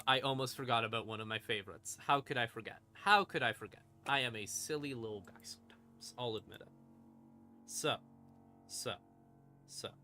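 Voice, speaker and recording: A man hosting a stream and explaining game lore in a casual monologue, with theatrical, deliberate pauses between short phrases. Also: medium pitch, sharp tone. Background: ambient music in the background.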